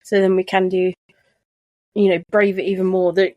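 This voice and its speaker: A soft-spoken woman co-hosting a chatty podcast from her sofa at home, talking with frequent restarts. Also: medium pitch, flat delivery, moderate pace, no echo, lo-fi sound. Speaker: podcast co-host. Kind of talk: casual chat. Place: living room sofa at home. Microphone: shared desk microphone.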